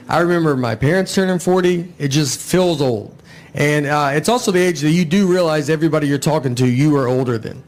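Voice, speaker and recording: Deadpan man in his forties, stand-up. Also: fairly high pitch. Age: 40s. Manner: deadpan, slow storytelling with acted-out dialogue. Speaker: stand-up comedian. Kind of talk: stand-up comedy. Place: stage before a live audience. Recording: loud audience laughter behind.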